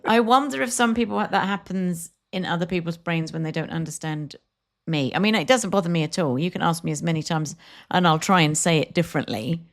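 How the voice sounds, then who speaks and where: A woman's voice: on the low side, brisk, hardly any pauses. A woman co-hosting a podcast, chatting casually and rambling, laughing easily. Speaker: podcast co-host. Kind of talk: casual chat.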